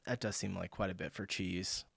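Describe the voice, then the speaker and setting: A man's voice: deep voice, fairly even intonation, normal speed, vocal fry, a bit bright, slightly rough, no room sound, clean studio sound. Laid-back American man reacting. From United States. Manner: laid-back, chuckling, reads out viewer comments. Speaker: reaction video host. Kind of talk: casual reaction commentary.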